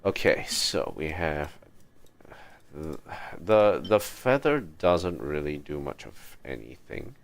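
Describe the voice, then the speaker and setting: A man giving casual livestream gameplay commentary, thinking aloud in a halting, muttering way. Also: rumbling low, sing-song, gravelly. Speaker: game livestreamer.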